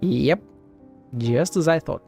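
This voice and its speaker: A man's voice: on the high side, expressive, slow, creaky, dark tone. A man giving casual live gameplay commentary, sceptical and profane as he thinks aloud. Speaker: game streamer. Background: game music.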